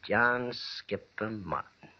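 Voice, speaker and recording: Low, smug, nasty gangster. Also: male voice, slow pace. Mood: smug threat. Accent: American. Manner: mock-polite, taunting. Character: smug, menacing gangster enforcer, darkly joking. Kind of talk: old-time radio crime drama acting. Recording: muffled old radio recording.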